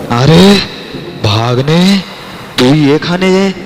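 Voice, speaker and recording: A man's voice: on the high side, expressive, very slow, exaggerated voice. A man voicing a gullible, eager tiger uncle with a hearty, coaxing manner in a cartoon. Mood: surprised. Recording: long reverb, faint noise.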